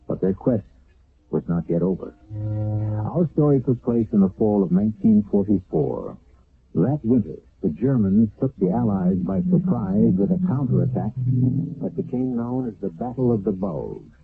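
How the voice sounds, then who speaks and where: Older man, radio mystery host, gravelly low voice, lively pitch, tinny old broadcast, faint music. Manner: grave, deliberate, theatrical. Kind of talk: scripted narration.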